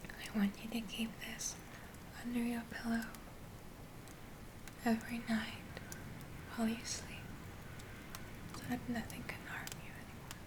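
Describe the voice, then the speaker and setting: Casting: woman for ASMR witch healer roleplay, gentle whisper, even and soothing delivery. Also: fairly high pitch, unhurried, long pauses, low-quality recording. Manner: soothing, gentle, lingering on words. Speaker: ASMR performer playing a healer.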